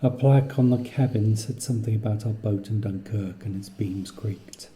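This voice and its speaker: A man's voice: lowish voice, normal speed, warm tone, roomy sound, a little hiss or hum. A man reading scripted literary narration as the writer and narrator of a story.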